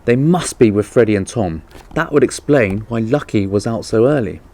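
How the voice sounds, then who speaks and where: A man's voice: lowish voice, lively intonation, moderate pace, a little mellow, voice pushed up. A man voicing a concerned, helpful uncle in a children's storybook, earnest and urgent.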